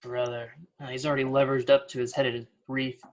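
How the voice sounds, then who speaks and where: A woman's voice: very deep voice, level tone, fast talker, a little mellow. A woman playing a petty, scheming official with a dry, conspiratorial manner in a comedy read. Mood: evasive, exasperated. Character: scheming, petty official rooting against a rival. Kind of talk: comedy script table read. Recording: dull lo-fi sound.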